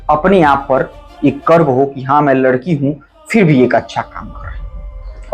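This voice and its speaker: A man's voice: fairly high pitch, darkish tone, rough recording. A man narrating a true crime story in an earnest, emphatic storytelling manner, speaking to listeners as friends.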